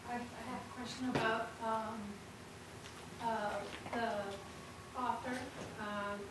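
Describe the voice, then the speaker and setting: A woman in an audience hesitantly asking questions, with pauses between phrases. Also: on the high side, little pitch movement. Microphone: distant camera mic. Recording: very echoey room, distant mic, noisy.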